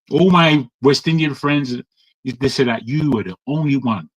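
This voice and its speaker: A man's voice: medium pitch, slightly rough, low-quality recording. A man giving opinionated talk-show commentary, emphatic, often repeating and restarting his words. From Nigeria. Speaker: panel commentator.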